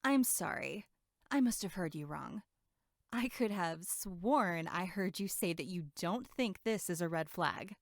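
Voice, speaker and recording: A woman's voice: lowish voice, lively intonation, a little slow, no echo, studio quality. A woman playing a sarcastic, snippy young female camp counselor in a horror audio drama, speaking in a curt, sardonic way. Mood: incredulous.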